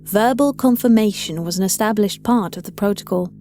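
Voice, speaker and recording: A woman's voice: mid-range voice, brisk, dark tone. A woman reading scripted audio fiction as a story narrator, with music behind her.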